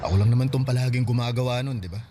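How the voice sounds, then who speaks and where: A man's voice: medium pitch, racing, noisy background, close to an everyday voice. A man playing a regretful young ex-lover. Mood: sheepish. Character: regretful young man seeking an old flame. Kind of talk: radio drama acting. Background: music.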